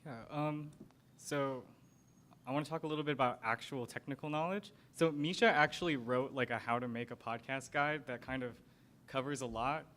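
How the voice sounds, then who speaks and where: A man's voice: moderate pace, a bit bright. A man moderating a panel discussion, putting questions to the speakers in a casual way. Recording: clear background noise with low rumble.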